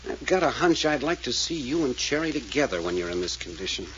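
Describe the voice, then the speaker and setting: A tough American male detective talks fast and swings sharply in pitch, pressing with clipped questions. It is old radio drama, muffled and noisy. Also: sing-song, a bit bright. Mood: pressing, accusing. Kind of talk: 1940s radio acting.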